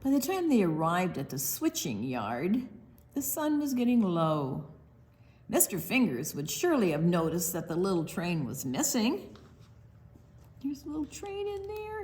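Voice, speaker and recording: Lady doing kids storytime read aloud, super lively up and down, takes her time, asks little questions. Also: medium pitch, vocal fry, slight background noise. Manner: animated, explains pictures simply, asks children questions. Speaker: children's story time reader. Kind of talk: read-aloud picture book storytelling with asides to young children.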